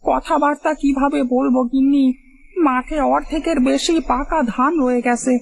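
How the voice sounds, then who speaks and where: A woman as a high, creaky, fretful farmer. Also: a little hiss or hum. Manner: plaintive, repeats phrases anxiously. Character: fretful, hard-working male village farmer, a husband. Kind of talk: cartoon moral-story dialogue.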